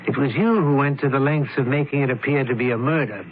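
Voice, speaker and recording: An actor playing a shrewd, candid doctor and sleuth in an old-time radio drama, speaking in a measured, precise way. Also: male voice, medium pitch, lightly acted. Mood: coolly accusing. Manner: measured, precise, deliberate explaining. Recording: tinny, noisy radio drama recording.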